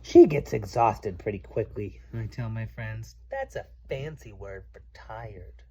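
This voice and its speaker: A man's voice: on the low side, sing-song, unhurried, dark tone, a little hiss or hum. A man reading a children's storybook aloud to a child, playful and theatrical, stopping to explain words simply.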